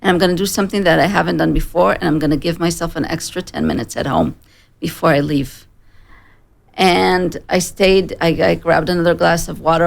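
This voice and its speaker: A woman in her forties from Israel with a slight American accent, giving a personal account as a studio interview guest in plain, measured sentences. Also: on the low side, gravelly. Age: 40s. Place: broadcast studio.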